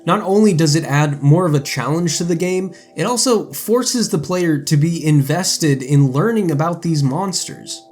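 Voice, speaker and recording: A man's voice: fairly high pitch, darkish tone. A man narrating a scripted video game review as an essayist. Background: background music.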